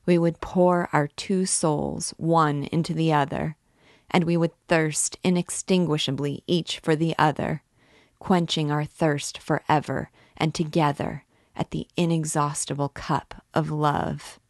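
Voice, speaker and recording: A woman's voice: sits low, flat delivery, measured pace, no echo, studio quality. A woman reading an anguished male priest who pleads desperately for love in a storybook reading. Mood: pleading.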